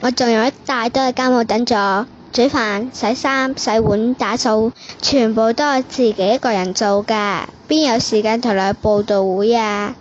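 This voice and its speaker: A woman's voice: a little high, fairly even intonation, normal speed, hardly any pauses, dull audio, a little hiss or hum, lightly acted. A woman playing a nagging busy mother. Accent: Cantonese. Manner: nagging, complaining.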